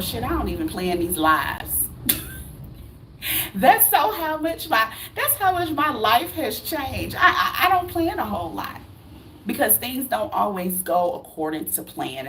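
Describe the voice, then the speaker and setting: A woman's voice: mid-range voice, fairly bright tone, slight background noise. A woman in her late thirties, from the United States, giving a blunt, preachy motivational talk to camera, full of rhetorical questions and casual swearing. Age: 30s. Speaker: vlogger.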